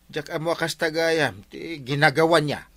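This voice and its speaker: An actor playing an easygoing, gossipy village captain in an Ilocano radio comedy, animated and exclamatory, with an Ilocano accent. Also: male voice, a little high, moderate pace. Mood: casual, teasing.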